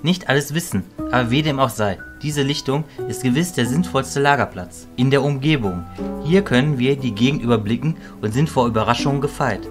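A composed magician mentor , acted by a man speaks at a medium male pitch in a fantasy radio play. He is kindly, measured and formal. Faint guitar music runs behind the voice. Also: expressive, natural voice. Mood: calm.

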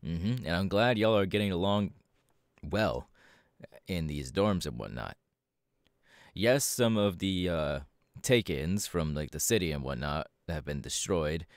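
A man playing a proud, laid-back superhero mentor in an ASMR audio roleplay, talking casually with drawling, hesitant pauses. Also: sits low, animated, no room sound, clean studio sound. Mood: casual, explaining.

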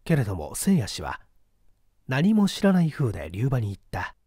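A man reading a period detective novel aloud in a measured, formal storytelling manner. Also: medium pitch, huge pitch swings, mellow, slightly rough, dry recording, studio quality. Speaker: audiobook reader. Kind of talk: scripted literary narration of a period detective novel.

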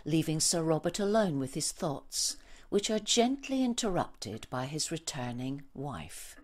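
A woman's voice: deep voice, big pitch swings, unhurried. A woman narrator reading scripted narration for a radio play.

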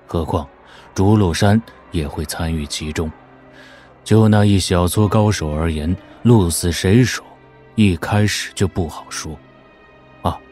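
A man acting a grave, skeptical middle-aged scholar-swordsman in a wuxia audio drama, speaking in a measured, probing way. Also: sits low, darkish tone. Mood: calm, grave.

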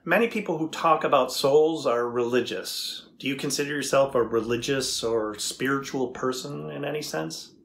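Man acting as male AI researcher, middle-aged, medium pitch, earnest, inquisitive, deliberate, dramatized dialogue. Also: vocal fry, fairly bright tone. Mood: curious, earnest. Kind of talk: dramatized transcript reading.

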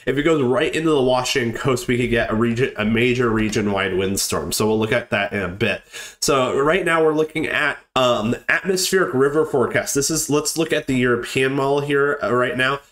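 A man's voice: medium pitch, a little fast, a bit bright. A young man getting over a cold, casually walking through a weather forecast with lots of ums and restarts. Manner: rambling, many ums and restarts.

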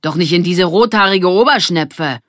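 A woman's voice: lowish voice, expressive, normal speed, fairly bright tone, dry recording, clean studio sound. A woman voicing an indignant boy, the boss of a gang, defensive and scornful.

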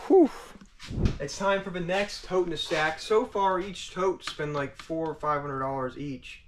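A young man vlogging as he digs through a storage unit, chatty and excitable, often exclaiming in surprise. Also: a little high, a little slow. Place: indoor storage facility hallway. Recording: noisy handheld camera audio.

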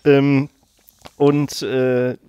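A man's voice: mid-range voice, level tone, very slow, warm tone, smooth, no echo, highs cut off. A man hosting a casual podcast, rambling through a story with many fillers and frequent laughs. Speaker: podcast host.

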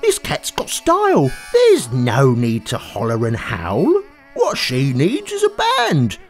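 A storyteller voicing an excitable young male frog musician who exclaims loudly and eagerly. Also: medium pitch, slow pace, faint music behind.

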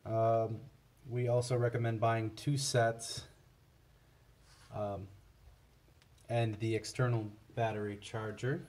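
A man giving a casual, unscripted product comparison in a halting, matter-of-fact way. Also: on the low side, flat delivery, a little mellow. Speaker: product reviewer for a scanner seller.

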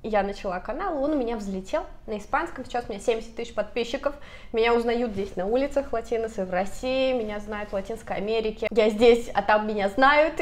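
A woman in her thirties talking to camera in a casual vlog, speaking animatedly and gesturing a lot, recorded on a lapel mic in a bright apartment room. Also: fairly high pitch. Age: 30s. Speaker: vlogger.

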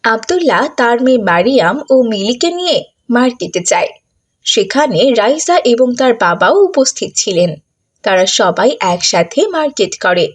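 Lively woman narrator with varied pitch. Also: normal speed, breathy edge. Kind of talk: scripted story narration.

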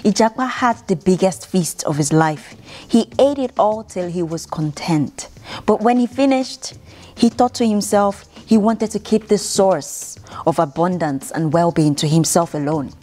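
A young woman from Nigeria reads a children's bedtime story in a measured, expressive way, with dramatic pauses. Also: lowish voice. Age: young adult. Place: indoor room, seated by a piano. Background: music.

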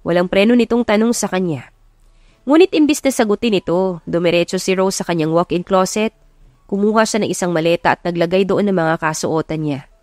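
A woman's voice: lowish voice, a little fast, a little mellow, no echo, clean studio sound. A woman with a Filipino accent reading scripted, dramatic story narration as an audiobook narrator. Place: close, dry recording with music behind.

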